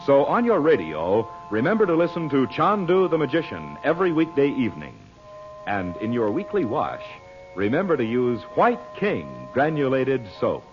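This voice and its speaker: Male announcer, 1940s radio pitchman: emphatic, hugely varied intonation, medium pitch, dull archive sound over music. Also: huge pitch swings, moderate pace. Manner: emphatic, salesmanlike. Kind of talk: 1940s radio advertising read. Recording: muffled old radio recording with music.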